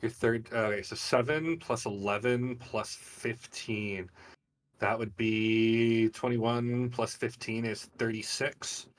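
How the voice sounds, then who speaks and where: A man's voice: mid-range voice, fairly even intonation, slow, distant mic. A man acting as game master, narrating a live tabletop game and explaining rules in an informal, vividly descriptive way. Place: online group game stream.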